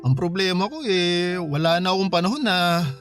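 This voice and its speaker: An actor with a Filipino accent playing a good-humoured, hardworking bachelor who gives wry retorts in casual radio drama banter. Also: male voice, high voice, normal speed, fairly bright tone, faint music behind. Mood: mildly protesting. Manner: wry, joking retorts among friends. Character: good-humoured, hardworking bachelor son, single male friend.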